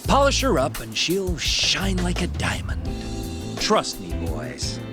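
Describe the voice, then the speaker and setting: An actor playing a showy, flirtatious club manager with smooth sales patter in a video game cutscene. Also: male voice, on the high side, wildly swooping pitch, slow, loud music behind the voice, heavily acted. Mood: eager, flirtatious.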